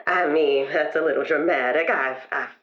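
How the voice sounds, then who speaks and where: A woman playing a weary middle-aged therapist who loves gardening, musing haltingly and reflectively in improvised roleplay, gentle with a quiet bitterness. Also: deep voice, lo-fi sound, noisy background, close to an everyday voice. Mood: quietly bitter. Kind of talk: improvised in-character roleplay for an actual play podcast.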